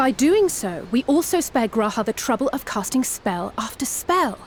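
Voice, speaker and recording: An actress voicing a cheerful, kindly young woman in a video game, speaking brightly. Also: a little high, big pitch swings, moderate pace, slight background noise.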